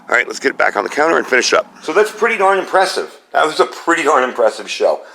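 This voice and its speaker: A man's voice: a little high, big pitch swings, fast, creaky, bright, forceful tone, echoey room. A man reviewing a flashlight in a casual, chatty way, with a scratchy voice from a cold, often checking in with "okay?". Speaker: product reviewer. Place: home garage and yard.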